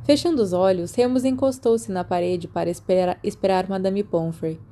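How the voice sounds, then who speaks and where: A woman's voice: mid-range voice, moderate pace, dark tone. A Brazilian woman reading a story aloud as scripted audiobook narration. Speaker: podfic narrator.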